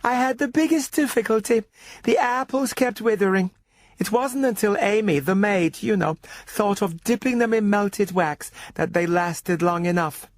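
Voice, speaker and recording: A prim, elderly aunt in a radio drama, acted by a man, pitched high in a thin falsetto. She speaks with genteel, fussy precision and a snappish edge. Music runs underneath. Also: normal speed, no echo. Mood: chatty, fussy. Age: older. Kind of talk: radio story character voice.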